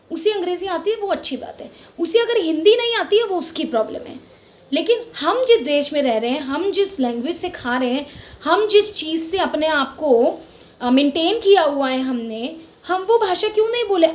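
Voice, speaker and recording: A middle-aged Indian woman hosting her own vlog, speaking with passion, repeating words for emphasis and mixing English words into her Hindi. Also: very high-pitched. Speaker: channel host. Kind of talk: casual opinion vlog. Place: lives in Mumbai.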